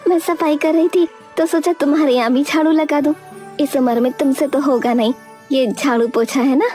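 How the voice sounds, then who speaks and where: A kindly village wife in a kids' cartoon voiced by a woman. She sounds earnest and dutiful, in a high voice over soft music. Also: steady tone, moderate pace, lightly acted. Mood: helpful. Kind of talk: Hindi children's cartoon voice acting.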